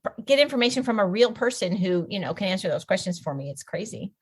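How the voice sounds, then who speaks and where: A woman speaks as a writer being interviewed on a podcast. Her voice sits at an average pitch, and her delivery is informal and conversational. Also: lively intonation, quick pace, slightly rough. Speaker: podcast guest, novelist. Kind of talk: casual chat.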